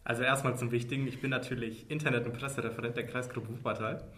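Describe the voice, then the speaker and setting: A man's voice: mid-range voice, little pitch movement, fast, a bit bright, a little hiss or hum. A man in his twenties co-hosting a casual podcast, dry and joking. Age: 20s. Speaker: podcast co-host.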